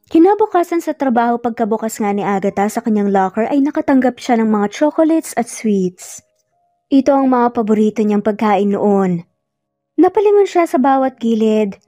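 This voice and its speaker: Mellow, high-voiced woman narrating romance. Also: no room sound, muffled recording. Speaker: storyteller, narrator. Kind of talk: dramatic scripted romance narration. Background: soft background music.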